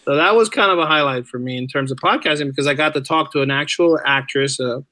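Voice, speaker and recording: A man speaking casually as a podcast guest, telling stories in an animated, rambling way. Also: on the high side, quick pace, no echo. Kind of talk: casual interview chat.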